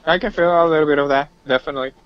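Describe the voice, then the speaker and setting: Man, somewhat high pitch, halting casual game talk, guest player, muffled lo-fi chat audio. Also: fairly even intonation, no echo. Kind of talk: casual unscripted game discussion.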